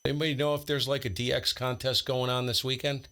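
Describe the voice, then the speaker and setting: A man's voice: medium pitch, little pitch movement, quick pace, pushed and bright, clear, resonant tone. An American man live-streaming ham radio with casual, blunt, slangy commentary and radio calls. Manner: blunt, slangy, exasperated grumbling.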